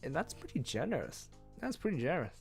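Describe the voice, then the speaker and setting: Expressive man reading aloud over music. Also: on the high side, fast talker, vocal fry. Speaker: host of a read-along stream. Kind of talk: expressive storybook narration with casual asides. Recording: music behind.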